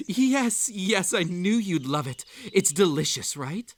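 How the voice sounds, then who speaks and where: A man playing an obsessive, unhinged magical hare hosting a tea party in a roleplay audio, with a theatrical, halting delivery that swings between sweet coaxing and menace. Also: high voice, lively intonation, dry recording, exaggerated voice. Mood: eager, doting. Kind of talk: roleplay audio acting, intimate second-person address.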